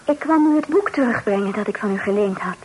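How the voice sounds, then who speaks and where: A woman's voice: medium pitch, animated, fast talker, natural voice. An actress playing a demure, uneasy, well-bred young lady in a Dutch period radio play, speaking with formal courtesy. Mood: polite. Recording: noisy old radio play recording.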